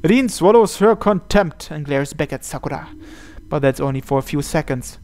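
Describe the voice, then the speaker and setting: A man's voice: a little high, lively intonation, normal speed, darkish tone. A man narrating a visual novel aloud as host, reading the scripted text.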